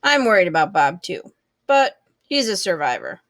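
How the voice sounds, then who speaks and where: A woman's voice: lowish voice, big pitch swings, normal speed, fairly bright tone, no room sound. A woman voicing a weary, practical working-class father in a storybook, plain-spoken and resigned. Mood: worried.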